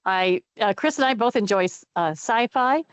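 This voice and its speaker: Older woman, chatty chair, mid pitch, dry close sound. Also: expressive, normal speed, smooth, no room sound, lo-fi sound. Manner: chatty, friendly, with fillers. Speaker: committee chair. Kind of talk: meeting introductions.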